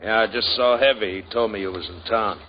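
An actor playing a terse, guarded frontier sheriff in a 1950s radio drama. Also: male voice, fairly low pitch, brisk, lightly acted. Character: curt evasive male frontier sheriff. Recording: dull old radio broadcast.

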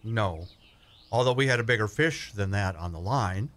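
A man's voice: lowish voice, animated, normal speed, a bit bright. A man playing an easygoing but stubborn older grandfather in a folksy radio play, a playful, hapless handyman. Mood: sheepish. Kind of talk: small-town radio play acting.

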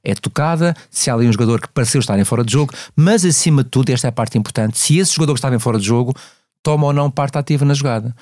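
A man with a European Portuguese accent explains football decisions on a podcast. He talks fast in a medium-pitched voice, teaching point by point in a conversational way. The sound is dry, with no room around it. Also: darkish tone, dry recording. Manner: didactic, poses and answers own questions. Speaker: refereeing analyst on a podcast.

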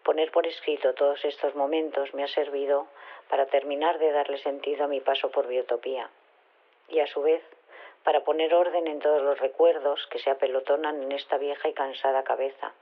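A woman's voice: low-pitched, fairly even intonation, a little fast. An actress playing an earnest, bookish woman scientist leaving a sincere voicemail message through a telephone filter. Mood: solemn, nostalgic. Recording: tinny phone-line voicemail.

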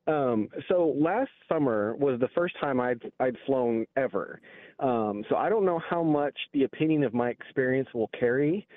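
A man's voice: medium pitch, animated, normal speed, dry recording. A man with an American accent, a radio caller telling his experience casually and a little hesitantly over the phone. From Kansas, United States. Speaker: call-in listener. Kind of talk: casual personal account. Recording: thin, narrow phone line.

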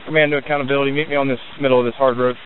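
A man's voice: medium pitch, level tone, rapid-fire. A man working as a fire incident commander, giving clipped procedural orders over a two-way radio. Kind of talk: terse emergency radio traffic. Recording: noisy narrowband fire radio traffic.

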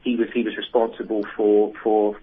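A man's voice: fairly low pitch, flat delivery, moderate pace, slightly rough. An English man, an author, talking enthusiastically and at length about his research in a phone interview, with frequent restarts. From England. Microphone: telephone. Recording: tinny phone line.

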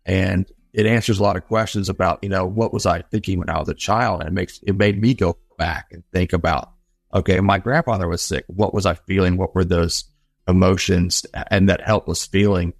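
A man's voice: sits low, steady tone, moderate pace, vocal fry, no room sound, faint music behind. A man giving earnest, reflective answers as a guest in a conversational interview. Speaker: guest, songwriter and author.

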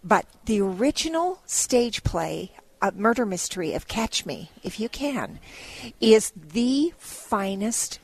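A woman's voice: mid-range voice, very animated, slow, slightly rough. A woman guest chatting on the radio and promoting shows in a gushing, emphatic way. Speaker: theater representative and guest.

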